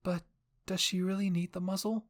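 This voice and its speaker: A man voicing a gentle, concerned young woman. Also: sits high, level tone, moderate pace, voice pushed up, lightly acted.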